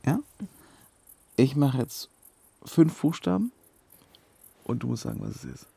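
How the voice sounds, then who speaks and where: An actor playing a gentle, hesitant young husband and resistance radio operator in a naturalistic radio drama, speaking haltingly. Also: male voice, medium pitch, expressive, creaky, background noise. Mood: playful, focused. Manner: halting, stammering.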